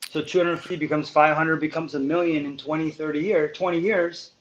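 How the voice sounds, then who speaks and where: A man talking on a podcast as a guest, laying out money advice in an emphatic, explanatory way and slowing down to stress his points. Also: fairly high pitch, steady tone, muffled recording, slight background noise. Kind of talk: casual podcast chat.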